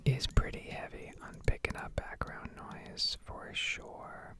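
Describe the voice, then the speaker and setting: A woman whispering and speaking very softly in a hesitant, unhurried ASMR ramble, recorded at home on a desktop microphone. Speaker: streamer making ASMR gaming videos. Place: indoor home setup. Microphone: Blue Yeti desktop microphone.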